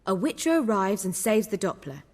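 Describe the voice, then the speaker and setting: An actress playing an excitable, self-assured playwright in a video game, with a theatrical and bossy manner. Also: female voice, medium pitch, normal speed, long reverb tail. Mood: confident.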